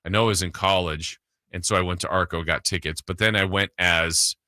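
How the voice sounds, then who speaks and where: Male sports talk host, casual and emphatic, punchy sharp tone, slightly low register. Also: fairly even intonation, brisk, dry recording, studio quality.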